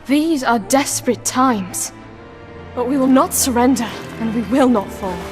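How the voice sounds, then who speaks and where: High, defiant young noblewoman warrior, played by a woman. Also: unhurried, lightly acted. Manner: firm, earnest. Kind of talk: dramatic fantasy game acting. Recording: music behind the voice.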